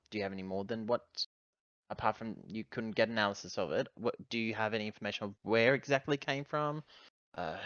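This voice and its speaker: A man's voice: fairly low pitch, a bit bright, clean tone, dry recording, dull audio. A man playing a tabletop role-playing game, talking casually as a player over a voice call. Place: online voice call.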